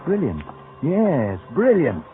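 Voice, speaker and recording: A man's voice: fairly high pitch, huge pitch swings, slow pace, exaggerated voice. A man playing a sinister, taunting narrator in a 1940s radio suspense drama, speaking insinuatingly and urgently to a fugitive. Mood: sly, scheming. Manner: insinuating, urgent second-person address. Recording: tinny old radio, loud music behind.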